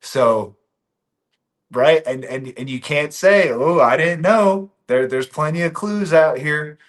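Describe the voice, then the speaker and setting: A man's voice: on the high side, slow. A man from Bosnia, a podcast guest talking casually and earnestly, often repeating his key phrases for emphasis.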